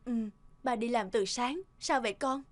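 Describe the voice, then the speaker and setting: A woman acts out a tender mother from an animated family drama. Her voice sits on the high side and she speaks softly and sentimentally, like someone confiding a long-held regret to her son. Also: normal speed, lightly acted. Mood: reassuring.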